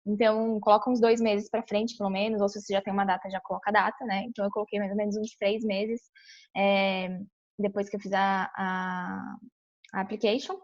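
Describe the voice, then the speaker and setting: A woman's voice: medium pitch, little pitch movement, brisk. A young woman from São Paulo with a Brazilian accent, explaining a screen-share tutorial in a chatty way, full of fillers and restarts. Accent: São Paulo Brazilian Portuguese. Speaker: vlogger walking through a visa application. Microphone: webcam on a computer. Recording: dull lo-fi webcam audio.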